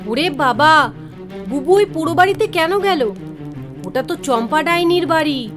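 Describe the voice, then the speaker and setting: A woman's voice: sits high, expressive, a little slow, over music. A woman voicing a young village girl in a cartoon, a worried, scolding older sister. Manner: anxious, scolding, pleading. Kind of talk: cartoon dialogue acting.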